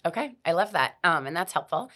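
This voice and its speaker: A woman hosts a casual podcast interview in a notably deep voice. She asks friendly questions and keeps her guest talking with quick words of agreement. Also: expressive, sharp tone.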